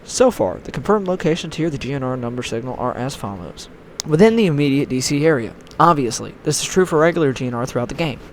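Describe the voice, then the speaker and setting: A man's voice: medium pitch, expressive, fast, a little hiss or hum. A man reading a scripted online horror story as its narrator.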